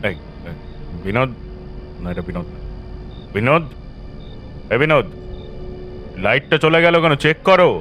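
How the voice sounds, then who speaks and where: A man's voice: medium pitch, big pitch swings, a little slow, long pauses, a bit bright, faint music behind. Man acting rattled, commanding male boss. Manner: stammering, barking short phrases.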